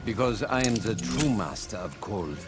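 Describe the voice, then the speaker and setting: An actor playing a cold, obsessive, grieving scientist villain in a video game, speaking in a formal, stern, measured way. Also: male voice, on the low side, animated, lightly acted. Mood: cold resolve. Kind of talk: video game character dialogue. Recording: loud music behind.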